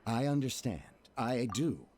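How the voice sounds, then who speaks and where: An actor voicing a scheming male merchant in a video game, speaking in a slow, obsequious, reassuring way. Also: mid-range voice, expressive, a little mellow, slight background noise, natural voice. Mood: fawning. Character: scheming male merchant, fawning to his backers.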